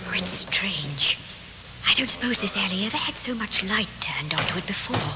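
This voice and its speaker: Actress as deferential, worried troupe woman, animated radio-play delivery, muffled echoing vintage sound. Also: mid-range voice, normal speed, lightly acted. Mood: uneasy, wondering. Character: anxious, deferential married woman of a theatre troupe. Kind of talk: 1950s radio drama acting. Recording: noisy, muffled, echoing old radio recording.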